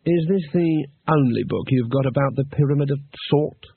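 A careful, scheming scholar, as a male narrator puts him on, weighing each word slowly. The voice is husky and comes through a narrow phone-like band. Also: mid-range voice, no echo. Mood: deliberate. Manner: slow and deliberate.

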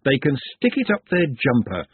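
A man's voice: mid-range voice, huge pitch swings, moderate pace, slightly rough, no echo, heavily acted. A man voicing a talking glass of sherry in a storybook, a cheeky tempter who coaxes and argues. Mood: cheeky persuasion. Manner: coaxing, brash, argumentative. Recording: muffled phone-like narrow band.

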